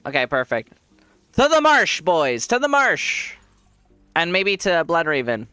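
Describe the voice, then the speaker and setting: A man's voice: fairly high pitch, huge pitch swings, unhurried, pushed and bright, clear, resonant tone, dry recording, faint music behind. A man with a congested voice, giving rambling casual commentary while he plays a game and laughs often. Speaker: streamer.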